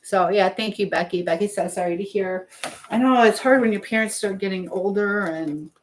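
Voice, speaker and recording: A woman's voice: mid-range voice, normal speed, slight background noise, low-quality recording. A middle-aged woman hosting a casual live stream, rambling between viewer comments and the baking she is doing as she talks. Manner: rambling, hopping between chat and task; chatting while baking.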